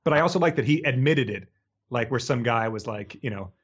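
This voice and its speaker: A man's voice: mid-range voice, expressive, a little fast, breathy edge, muffled recording. A man co-hosting a podcast in casual comedic chat, riffing and often laughing as he talks. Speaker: podcast co-host.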